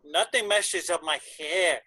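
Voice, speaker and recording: A man reading the part of a proud, vain Latin band member in a table read, with a scornful, deliberate manner. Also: a little high, bright, forceful tone, dry recording.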